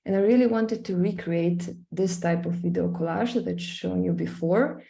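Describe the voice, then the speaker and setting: A woman's voice: lowish voice, normal speed, vocal fry, mellow. A young woman from Slovenia with a Slovenian accent, presenting her art project over a screen share, talking hesitantly with many fillers and restarts. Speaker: visual artist presenting her work.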